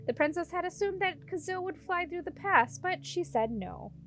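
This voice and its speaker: A woman's voice: very high-pitched, expressive, normal speed, fairly bright tone, a little hiss or hum. A woman reading scripted storybook narration as an audiobook reader.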